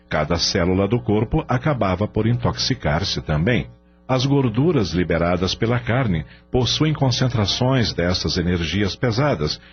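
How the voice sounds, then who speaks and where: A man's voice: fairly low pitch, dull audio. A Brazilian man narrating a radio novel in a dramatic scripted style. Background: music.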